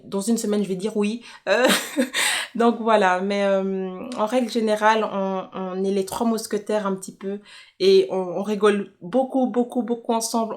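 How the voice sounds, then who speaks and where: A young woman from Kinshasa chatting casually in a personal question-and-answer vlog, correcting herself as she thinks aloud. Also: medium pitch. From Congolese, from Kinshasa, living in Switzerland. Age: young adult. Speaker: YouTuber.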